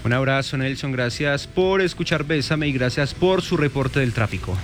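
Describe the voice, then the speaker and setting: A man hosting a morning radio traffic show, lively and joking as he reads listener reports. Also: medium pitch, normal speed, faint music behind. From Colombia. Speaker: radio host.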